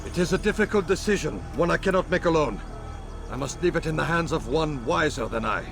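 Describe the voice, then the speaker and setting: Grand crusader king, gravelly, sweeping intonation. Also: on the high side, lively intonation, brisk. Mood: solemn, commanding. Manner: regal, declamatory. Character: proud crusader king, grand, pious, weighing judgment. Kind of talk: dramatic video game cutscene acting. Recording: music behind the voice.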